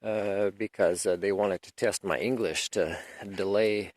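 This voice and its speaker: A man whose first language is French, giving a rambling, aggrieved unscripted monologue and clearing his throat now and then. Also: lowish voice, steady tone, a little slow, darkish tone, dry recording. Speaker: video lecturer.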